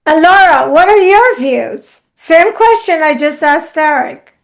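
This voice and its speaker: A woman's voice: extremely high pitch, lively intonation, measured pace. A woman from Guyana hosting a casual talk show, asking questions and steering the conversation. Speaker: host.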